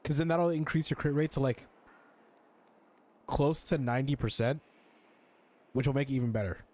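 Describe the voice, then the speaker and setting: Casual mid-pitched man streaming a game. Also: normal speed, darkish tone. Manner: colloquial, informal. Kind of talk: casual chat while playing a game.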